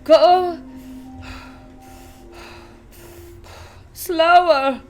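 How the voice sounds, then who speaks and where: A woman's voice: high-pitched, expressive, measured pace, mellow. A woman reading aloud and voicing a stout, breathless rich mother who talks fussily. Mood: fussy.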